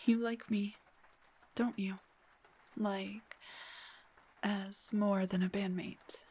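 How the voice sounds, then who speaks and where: A woman playing a playful, teasing young rock singer in an intimate roleplay audio drama, speaking softly and breathily, often near a whisper. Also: mid-range voice, fairly even intonation, husky. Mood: teasing, tender.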